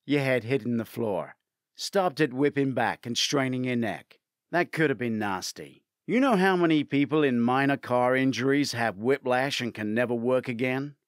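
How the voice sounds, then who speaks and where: A man voicing a brisk, matter-of-fact male investigator with a wry streak in an audiobook. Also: medium pitch, dry recording, clean studio sound, close to an everyday voice. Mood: teasing. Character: brisk, teasing male investigator colleague delivering bad news.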